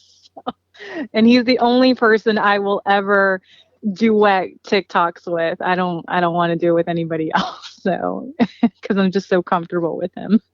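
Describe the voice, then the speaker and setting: A woman's voice: mid-range voice, fairly even intonation, normal speed, no room sound, muffled recording. A woman in her thirties, a guest talking casually about a friend, full of "like" and fillers and often laughing. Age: 30s. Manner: chatty, lots of "like" and laughter. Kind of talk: casual interview.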